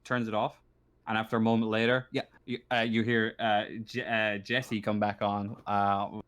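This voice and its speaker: A man running a tabletop game as game master, narrating casually in a halting, sweary way. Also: on the low side, little pitch movement, a bit bright.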